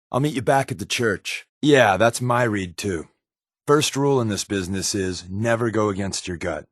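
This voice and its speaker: Game companion role: wisecracking secret agent man, average pitch with very lively inflection, dry sarcastic humor. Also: dry recording. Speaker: video game companion character. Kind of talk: game voice acting.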